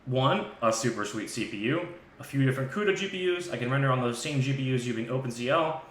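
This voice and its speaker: A man hosting a live software tutorial, talking informally and thinking aloud as he demonstrates on screen. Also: medium pitch, quick pace. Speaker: live stream host and software instructor. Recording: echoey room with faint background noise.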